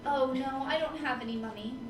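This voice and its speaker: Woman playing a high-voiced, flighty, vain film starlet. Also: a little fast, slight background noise, natural voice. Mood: pleading, flighty. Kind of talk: comic noir audio drama acting. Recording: roomy echo, music underneath.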